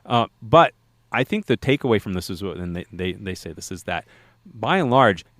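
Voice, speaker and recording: A man's voice: lowish voice, lively intonation, normal speed, a bit bright, no echo. A man co-hosting a podcast, chatting casually and rambling with many fillers and restarts. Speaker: podcast co-host.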